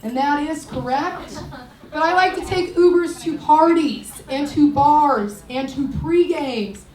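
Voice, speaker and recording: A young woman in her twenties doing a stand-up set on a comedy club stage into a handheld mic, loud and brash, shouting her punchlines. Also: sits high, slow pace. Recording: roomy, distant, loud crowd and noise.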